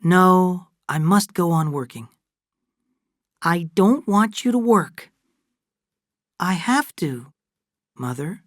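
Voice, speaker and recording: A woman voicing a restrained daughter with a scheming streak in an audiobook, speaking in a controlled, deliberate way. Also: lowish voice, expressive, no room sound, studio quality, voice pushed up. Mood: dutiful, gentle. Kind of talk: dramatised audiobook dialogue.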